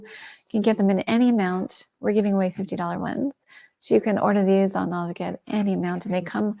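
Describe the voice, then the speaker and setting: A middle-aged woman hosts a shopping livestream in a casual, enthusiastic way. Her voice sits at a middle pitch with a noticeable gravelly fry, and she slips into soft, near-whispered asides. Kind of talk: casual product presentation.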